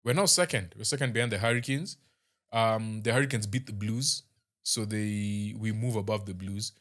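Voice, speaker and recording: Casting note: young Kenyan man, lowish voice, relaxed rugby recap host, lots of fillers. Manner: chatty, halting, with many fillers and restarts. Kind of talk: casual match review.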